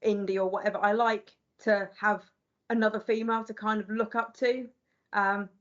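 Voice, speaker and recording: A woman's voice: medium pitch, flat delivery, normal speed. A woman interviewing a young singer in a casual chat, with many fillers like "sort of". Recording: dull lo-fi audio.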